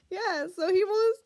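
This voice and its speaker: High-pitched woman reading slowly to children. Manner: exaggerated, expressive, asks listeners questions. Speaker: children's read-aloud storyteller. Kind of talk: picture book read-aloud with asides to young listeners.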